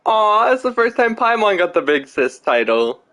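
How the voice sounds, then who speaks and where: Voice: medium pitch, big pitch swings, normal speed, a bit bright. A game streamer giving casual gameplay commentary and reacting to the story. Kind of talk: casual gameplay commentary, reading dialogue choices.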